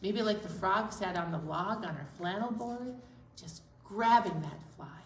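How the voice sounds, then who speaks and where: Slow, gentle children's librarian. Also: female voice, mid-range voice, lively intonation, echoey room, distant mic, slight background noise. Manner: gentle, slow and encouraging, talking to young children. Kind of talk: children's storytime. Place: library room.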